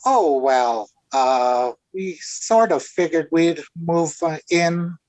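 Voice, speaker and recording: An actor playing a naive, gawky American teenage boy with halting, sheepish speech in 1940s radio sitcom style. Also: fairly high pitch, a little mellow, dry recording, poor audio. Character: bashful, hesitant teenage boyfriend, naive and gawky.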